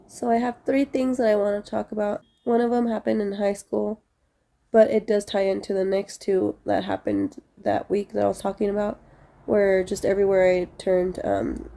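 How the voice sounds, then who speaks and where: A woman's voice: medium pitch, vocal fry, dark tone. A young woman with a stuffy, congested voice, casually telling a rambling story to her viewers with many fillers. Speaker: vlogger.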